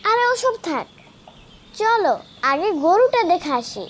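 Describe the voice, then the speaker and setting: A woman's voice: very high-pitched, sing-song, a little slow, background noise, exaggerated voice. A woman voicing a proud, eager little boy in a cartoon. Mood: excited, proud. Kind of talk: cartoon voice acting.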